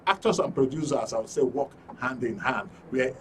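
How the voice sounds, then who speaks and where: A Nigerian man hosting a live studio panel show, introducing things haltingly and repeating phrases. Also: medium pitch, fairly bright tone. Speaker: radio and TV programme host. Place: radio and TV studio. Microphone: studio microphone. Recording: faint noise, distant studio mic.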